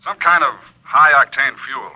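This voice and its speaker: A man's voice: mid-range voice, moderate pace, natural voice. Man playing an insurance boss on old radio, terse and businesslike, dull lo-fi sound. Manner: terse, matter-of-fact. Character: brisk, businesslike male insurance company man. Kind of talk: 1950s radio acting.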